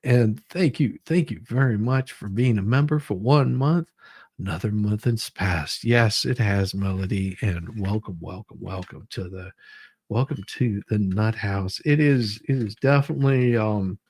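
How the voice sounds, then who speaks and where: A man's voice: lowish voice, measured pace, a little mellow, slightly rough, no room sound. A man hosting a livestream with casual, rambling chat, often repeating his phrases. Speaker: livestream host.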